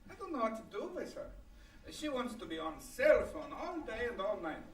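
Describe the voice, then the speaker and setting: A woman's voice: a little high, big pitch swings, normal speed. A woman playing an effusive old immigrant grandmother with an Eastern European accent and broken English in a live radio comedy. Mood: concerned. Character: chatty old immigrant grandmother neighbor bringing food. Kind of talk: old-time radio comedy acting before a live audience. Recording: roomy live stage, distant mic, faint noise.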